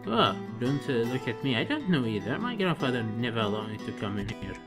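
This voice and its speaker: Irreverent young female game character read by a man, frank and informal, music clearly underneath. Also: mid-range voice, big pitch swings, fairly quick. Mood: resentful, offhand.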